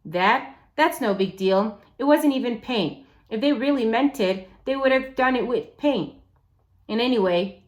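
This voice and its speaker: A woman's voice: a little high, pitched above the performer's own voice, natural voice. A woman voicing a feisty, bossy young girl from a storybook, insistent and sarcastic as she pleads.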